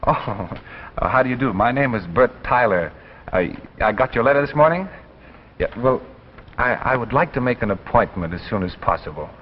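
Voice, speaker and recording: An actor playing an eager, polite aspiring writer in a 1950s radio drama, hesitant, stammering and deferential. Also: male voice, mid-range voice, lively intonation, normal speed, slight background noise, natural voice. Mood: nervous, eager. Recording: muffled old radio recording with reverb.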